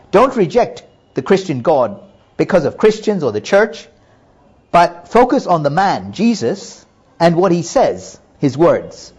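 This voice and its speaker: A middle-aged man calmly telling his personal faith testimony in an earnest, measured way. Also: medium pitch. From Hong Kong and Japan. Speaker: businessman giving testimony.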